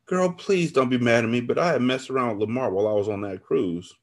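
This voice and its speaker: A man's voice: medium pitch, quick pace, close to an everyday voice. A man voicing a woman in an audiobook drama, pleading and placating as she talks to her friend. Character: guilt-ridden woman narrator pleading with her angry friend.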